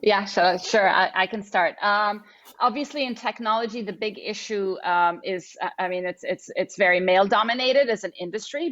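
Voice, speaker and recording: A woman's voice: mid-range voice, vocal fry, a bit bright, no room sound, muffled recording. A woman from Egypt speaking on a panel as a tech CEO, earnest and thoughtful, often restarting her sentences. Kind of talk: panel discussion answers.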